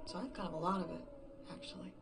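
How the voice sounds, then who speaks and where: A woman acting an exhausted, frightened survivor in a horror audio drama monologue, haltingly thinking aloud and repeating herself. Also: lowish voice, creaky, background noise. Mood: bleak, resigned. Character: exhausted, frightened woman game writer, alone after everyone vanished.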